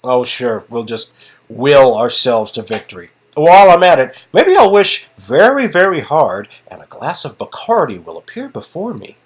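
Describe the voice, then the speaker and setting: A man playing a sarcastic, grumbling ex-agent who talks in a dry, halting, improvised way. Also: medium pitch, sing-song, breathy edge.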